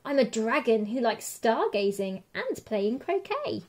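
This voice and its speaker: A gentle, bookish storybook dragon, voiced by a woman, with a lightly high pitch. The creature introduces itself in an earnest, hesitant and friendly manner. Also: very animated, a little slow. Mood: shy, friendly. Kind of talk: storybook character voice.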